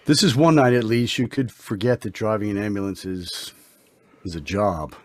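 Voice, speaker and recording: An idealistic young intern doctor played by a man in an old-time radio drama. He speaks earnestly and formally, with gentle solemnity, in a dry, close recording. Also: lowish voice, dry recording, natural voice. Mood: solemn, pleading. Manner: earnest, formal, measured phrasing.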